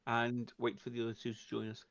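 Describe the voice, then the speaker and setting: A man's voice: mid-range voice, monotone, fairly quick, a bit bright. A man talking casually in character as a tabletop game player, on a lo-fi microphone. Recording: dull, lo-fi microphone.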